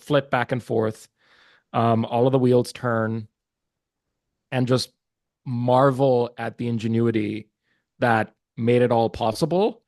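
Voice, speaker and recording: A man from Pakistan, Urdu his first tongue, talks as a podcast guest in a mid-pitched voice. He speaks with eager warmth but stumbles through fillers and restarts. The sound is close and dry, with no room echo. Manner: hesitant, many fillers and restarts, earnest and enthusiastic. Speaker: guest, watch market research business developer. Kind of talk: casual interview chat.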